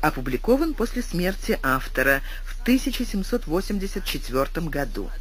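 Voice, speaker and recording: A man reading a classic tale aloud as an audiobook narrator, in expressive scripted storytelling. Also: fairly high pitch, normal speed.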